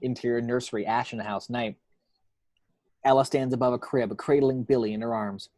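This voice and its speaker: A man's voice: mid-range voice, steady tone, moderate pace, a little mellow, no room sound. Man narrating a screenplay, dry close sound. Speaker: reader of action descriptions. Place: at home.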